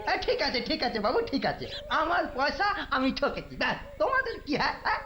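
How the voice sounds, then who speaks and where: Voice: high voice, big pitch swings, fast talker, pushed and bright, exaggerated voice. An actor voicing a vain, irritable male royal minister in a cartoon, blustering and grumbling. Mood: irritated. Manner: blustering, grumbling, tag questions, chuckles. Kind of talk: animated cartoon character acting. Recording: music behind the voice.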